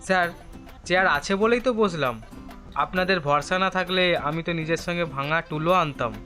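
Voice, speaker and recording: Man playing unemployed wisecracker, high pitch, bright tone, overconfident, theatrical, comedy audio story. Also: normal speed, faint music behind. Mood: eager, cocky. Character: hapless, wisecracking male job seeker, overconfident, perpetually unemployed. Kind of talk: comedy audio drama acting.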